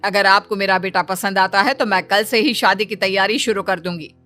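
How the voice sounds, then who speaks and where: A woman's voice: mid-range voice, steady tone, moderate pace, bright, forceful tone, faint music behind, lightly acted. A woman voicing a stern, domineering rich middle-aged mother in a cartoon story, in a scolding, bossy manner. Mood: imperious.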